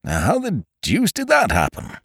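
A man voicing a pompous, indignant chancer in an audiobook, loud and emphatic, stammering, with old-fashioned British slang. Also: low voice, huge pitch swings, normal speed, dry recording, clean studio sound, exaggerated voice. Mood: flustered, sheepish.

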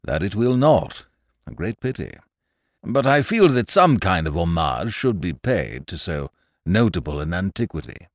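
A man's voice: sits low, very animated, a little slow, dry recording, studio quality, voice pushed up, exaggerated voice. A man voicing a courtly, old-fashioned elderly English solicitor in an audiobook, mild and genial, with an English accent and a habit of repeating his phrases. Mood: wistful, reflective. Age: older. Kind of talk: audiobook character voice.

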